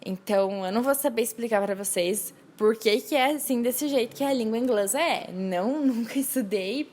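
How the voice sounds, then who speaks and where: Earnest young woman podcaster, slightly high. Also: normal speed, darkish tone, slight background noise. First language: Portuguese. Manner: hesitant, many restarts and fillers, earnest. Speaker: podcast host. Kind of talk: casual solo podcast talk.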